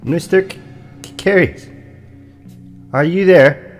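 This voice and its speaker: Man playing a slow, stammering, anxious broker. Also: a little high, faint music behind. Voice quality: stammering on consonants. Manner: formal, halting, deferential. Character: large muscular male property broker, meek, anxious, courteous. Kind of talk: audio drama acting.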